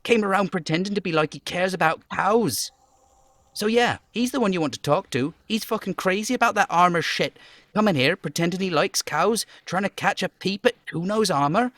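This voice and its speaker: A man voicing an aggressive, sneering young street boy who swears and talks about himself in the third person in a high, strained voice, in a game. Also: normal speed, a bit bright, no echo. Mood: aggressive, scornful. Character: foul-mouthed aggressive bratty young street boy, Kuno.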